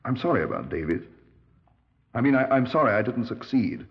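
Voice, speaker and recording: An actor playing a callous, resigned army major in a 1950s radio drama, speaking haltingly and matter-of-factly. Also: male voice, medium pitch, big pitch swings. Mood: resigned, bitter. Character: callous, resigned retired major confessing to killing his wife. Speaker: actor playing a murder suspect. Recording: dull vintage 1950s radio recording.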